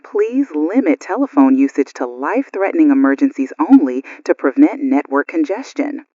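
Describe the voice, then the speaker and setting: Woman acting anxious radio co-host, animated delivery. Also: deep voice, normal speed, dry recording, dull audio. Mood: formal, reading. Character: anxious female radio news co-host during a blackout. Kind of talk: radio news drama acting.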